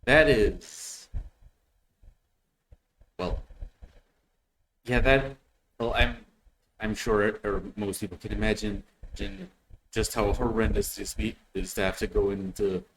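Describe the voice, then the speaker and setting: A man with a fairly low voice gives casual reaction commentary as a host. He talks hesitantly, repeating words as he gathers his thoughts. There is faint noise behind him. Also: expressive, slow pace, long pauses, fairly bright tone, low-quality recording.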